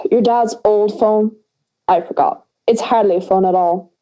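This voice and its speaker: Mellow, dry schoolgirl friend, acted by a young woman. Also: medium pitch, unhurried, lightly acted, low-quality recording. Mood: bossy, exasperated. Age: young adult. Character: wry schoolgirl friend, sheepish but bossy. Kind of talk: audio drama dialogue.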